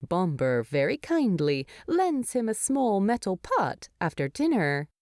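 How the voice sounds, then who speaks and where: Woman reading a story, slow n super expressive, really swoopy pitch, dry recording. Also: no echo. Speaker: fan fiction narrator. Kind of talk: expressive scripted storybook narration.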